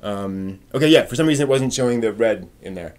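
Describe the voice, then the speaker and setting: A man's voice: fairly low pitch, expressive, brisk, darkish tone. A man hosting a live stream, chatting casually with viewers in an informal, rambling way as he thinks aloud.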